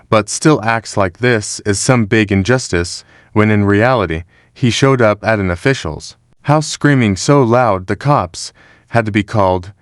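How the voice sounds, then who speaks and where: A man reading scripted voice-over commentary in a steady, disapproving tone. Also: on the low side, dry recording, clean studio sound. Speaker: narrator.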